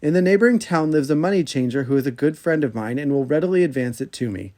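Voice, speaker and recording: A man reads the part of the devil in a storybook, a scheming and menacing tempter. He speaks imperiously in formal old phrases, in a voice a little higher than usual. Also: expressive, fast talker, dark tone, lightly acted. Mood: bargaining.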